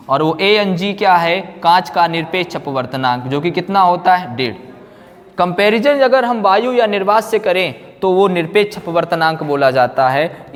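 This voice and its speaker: Guy teaching physics, slightly high voice, lots of room reverb, asks questions then answers them. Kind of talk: classroom lecture, explaining formulas. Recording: echoey hall-like reverb.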